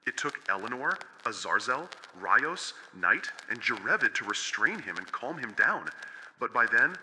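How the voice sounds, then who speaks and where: A man playing a brooding, formal otherworldly therapist recording measured, clinical case notes in an analog horror audio drama. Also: medium pitch, very animated, sharp tone, heavily acted. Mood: grave, clinical. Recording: noisy, clicky, radio-like recording.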